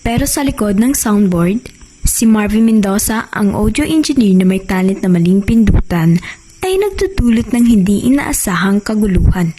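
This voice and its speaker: A woman's voice: mid-range voice, lively intonation, normal speed, mellow, a little hiss or hum. A woman with a Filipino accent reading scripted radio drama narration.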